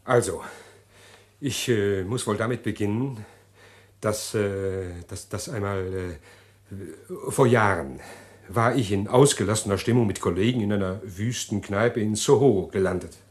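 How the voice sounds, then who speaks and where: An actor playing an anxious condemned man in a German radio crime play, speaking haltingly and formally as he confesses. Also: lowish voice, close to an everyday voice. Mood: halting, reflective. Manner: halting, stammering, formal wordy speech. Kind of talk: 1950s to 80s German radio crime drama acting.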